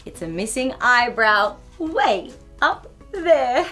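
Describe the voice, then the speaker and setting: A woman's voice: on the high side, very animated, slow pace, sharp tone, soft background music. A woman in her thirties reads a picture book aloud to young children in a bubbly, exaggerated, giggly way as a storytime host. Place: small furnished indoor room.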